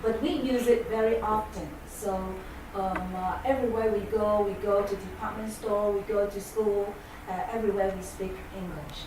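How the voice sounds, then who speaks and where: A woman's voice: medium pitch, fairly even intonation, moderate pace. A Singaporean woman whose first language is Chinese, giving a talk to an audience in English, with many hesitant fillers. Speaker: guest speaker. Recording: echoey hall from far off, noisy.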